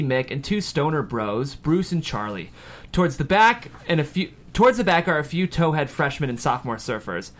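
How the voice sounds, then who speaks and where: Animated man reading stage directions. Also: fairly high pitch. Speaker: screenplay narrator. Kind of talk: reading script stage directions aloud at a table read.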